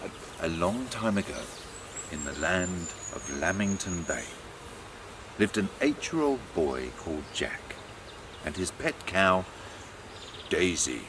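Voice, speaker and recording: A storyteller narrating a children's tale in a gentle, measured and expressive way, with music behind the voice. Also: deep voice, background noise.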